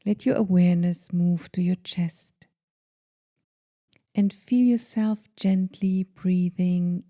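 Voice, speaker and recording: A woman guiding a meditation in a gentle, soothing, deliberate manner, leaving pauses between phrases. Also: lowish voice. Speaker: meditation guide. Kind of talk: guided meditation.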